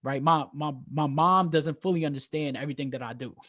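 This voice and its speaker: A man's voice: a little high, fairly quick, a bit bright, no room sound, studio quality. A man with a Bronx accent co-hosting a podcast, enthusiastic and keeps checking in with "right?". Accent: New York, Bronx. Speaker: podcast co-host. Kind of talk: casual podcast interview.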